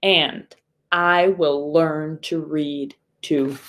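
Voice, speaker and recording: Female primary teacher for children's read-aloud, lowish voice, very slow, instructive delivery. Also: steady tone, fairly bright tone, a little hiss or hum. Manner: deliberate, instructive phrasing aimed at young pupils. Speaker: third grade teacher.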